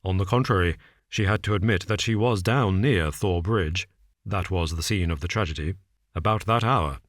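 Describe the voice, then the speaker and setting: A man reads a calm, shrewd detective, voice dropped a little below his own low register. He lays out facts slowly and deliberately, with a dry, understated edge. The recording is close and dry. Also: no echo. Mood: measured. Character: cool, shrewd male detective, measured and dry.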